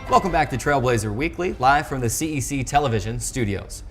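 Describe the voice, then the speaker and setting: A man hosting a sports talk show in a studio, interviewing conversationally with wordy, halting phrasing and repeated words. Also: medium pitch, animated, over music. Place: television studio.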